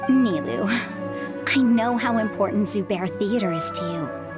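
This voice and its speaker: An actress voicing a kind adult mother in a video game, gentle and reassuring. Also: mid-range voice, lively intonation, measured pace, natural voice. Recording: game soundtrack music behind.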